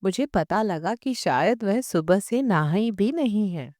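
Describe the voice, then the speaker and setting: A woman's voice: mid-range voice, expressive, takes it slowly, a little mellow, no echo, clean studio sound. A woman reading a literary short story as an audiobook narrator in a scripted style.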